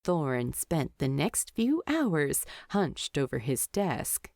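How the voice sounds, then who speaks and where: A woman's voice: low voice, big pitch swings, slow pace, darkish tone, no room sound, studio quality. A woman narrating a scripted story as an audiobook-style reader.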